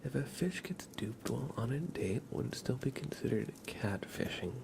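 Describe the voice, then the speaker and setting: A man hosting an ASMR livestream, chatting playfully and softly with viewers, often slipping into whispers and rambling as he goes. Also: medium pitch, expressive, moderate pace, creaky, noisy background. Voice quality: soft, often breaking into whispers. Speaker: livestream host. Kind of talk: ASMR livestream chat, reading and answering viewer comments.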